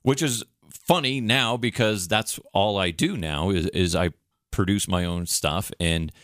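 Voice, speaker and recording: An American man hosting a podcast, talking casually and telling anecdotes in an animated, mildly salty way. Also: deep voice, a little slow, no echo, clean studio sound. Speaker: podcast host, former radio producer.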